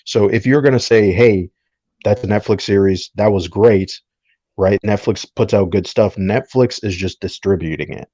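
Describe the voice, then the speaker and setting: A low-pitched man lays out his opinions as a podcast panelist in an explanatory, conversational way. The sound is close and dry, slightly dulled. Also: normal speed, mellow, no echo, highs cut off. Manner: explanatory, checks in with "right?". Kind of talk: casual opinionated discussion.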